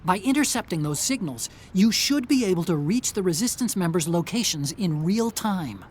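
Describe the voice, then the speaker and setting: An actor playing an earnest, brainy tech adviser, methodically giving a mission briefing in a video game. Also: male voice, sits high, expressive, normal speed, a little hiss or hum. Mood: serious, instructive. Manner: methodical, explanatory.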